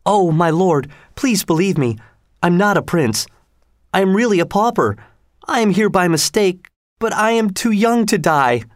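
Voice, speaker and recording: A man's voice: sits high, sing-song, measured pace, no echo, studio quality, voice pushed up. A man reading aloud, voicing a frightened, humble poor boy who pleads anxiously. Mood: pleading.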